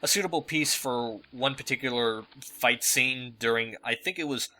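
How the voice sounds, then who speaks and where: Man in casual, halting commentary chat. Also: mid-range voice, pushed and bright, low-quality recording. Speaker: dub producer giving commentary.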